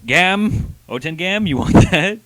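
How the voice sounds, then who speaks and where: A man's voice: high-pitched, expressive, takes it slowly, heavy background noise. A man co-hosting a review show, chatting casually with slangy, joking interjections. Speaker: co-host of a comic book review show.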